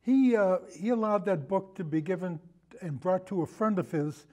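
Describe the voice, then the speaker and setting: A man in a casual film discussion, telling anecdotes in a halting, rambling way and often repeating his phrases. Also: high-pitched, expressive, mellow. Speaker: podcast guest, film discussion.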